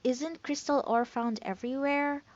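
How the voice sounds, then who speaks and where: A woman's voice: high-pitched, steady tone, normal speed, a little mellow, lo-fi sound. A woman streaming a game, making light, offhand commentary in a casual way. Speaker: gameplay streamer.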